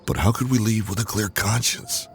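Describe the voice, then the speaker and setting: A man's voice: lowish voice, moderate pace, creaky, faint music behind. A man voicing a loyal male gang member in a video game, speaking solemnly.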